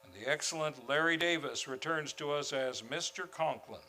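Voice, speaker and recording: Bright, high-voiced male radio announcer. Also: moderate pace, slight background noise, rough recording. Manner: theatrical, grandly formal. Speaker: series host and announcer. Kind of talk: old-time radio announcing. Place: theater stage, before a live audience.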